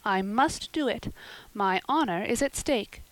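A woman voicing a dramatic, imaginative young girl with earnest, high-flown phrasing. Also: lively intonation, measured pace, no room sound, low-quality recording. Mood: solemn.

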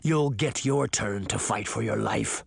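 A low, growling wolf monster, played by a male narrator, threatens with sadistic amusement. He speaks slowly and mockingly, like a storybook villain, in a dry, close recording. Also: gravelly, breathy edge, no room sound, heavily acted. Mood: taunting.

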